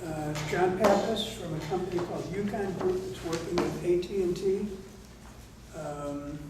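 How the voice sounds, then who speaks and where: A man reports to a public meeting as a council member, in a measured, formal manner. He sounds far from the mic in a very reverberant room, with clear background noise. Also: high-pitched. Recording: echoey meeting hall, distant mic, noisy.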